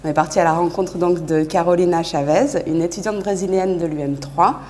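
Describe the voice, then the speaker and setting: A young woman presenting a student TV show and interviewing a guest, speaking in a relaxed, friendly way with frequent hesitations. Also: lowish voice, brisk. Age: young adult. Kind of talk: interview and live studio presentation.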